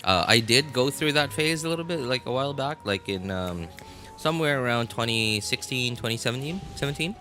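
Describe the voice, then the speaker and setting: A man livestreaming games in casual chat, talking in a halting, rambling way and often repeating himself. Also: mid-range voice, lively intonation, pushed and bright. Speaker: live game streamer. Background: music.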